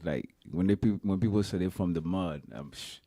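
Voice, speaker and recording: A man from Haiti with a Haitian accent, a guest entrepreneur answering interview questions in a radio studio, measured and hesitant. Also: sits low, warm tone, no echo.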